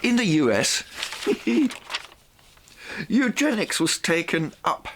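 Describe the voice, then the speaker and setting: A man presenting a reflective monologue in a theatrical, deliberate way, with rhetorical asides. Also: on the high side, big pitch swings, a bit bright, a little hiss or hum.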